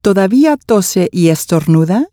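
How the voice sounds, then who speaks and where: A woman reading scripted language-course dialogue, enunciating each phrase clearly. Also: medium pitch, animated, unhurried, mellow, no echo, studio quality.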